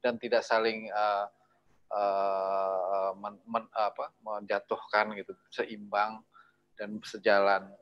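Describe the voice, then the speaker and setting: A man moderating a casual discussion, talking haltingly and often repeating words. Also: fairly low pitch, little pitch movement, no echo, lo-fi sound. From Indonesia. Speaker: host, moderator.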